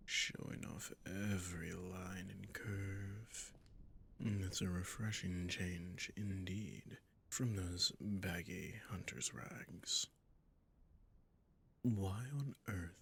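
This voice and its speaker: A suave, sadistic male vampire voiced by a man speaks in an intimate audio roleplay. His breathy voice is deep and unhurried, teasing his prey with formal courtesy and menace. A faint rumbling noise sits behind him. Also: a bit bright, exaggerated voice. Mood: seductive, admiring. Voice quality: low, breathy, gravelly.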